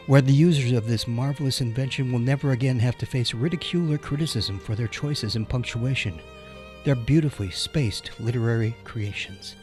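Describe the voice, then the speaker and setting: Man as solemn charity-appeal pitchman over violin. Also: close to an everyday voice. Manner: grandiose, pleading. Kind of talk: scripted parody fundraising ad. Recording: violin music underneath. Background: violin music.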